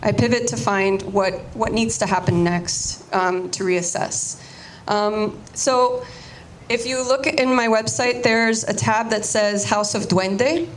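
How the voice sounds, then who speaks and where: Woman, Spanish as first language, informal artist talk, mid-pitched voice, faint background noise. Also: slow. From United States, Cuban-American family. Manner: informal, chatty, restarts sentences often. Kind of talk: casual artist talk to an audience.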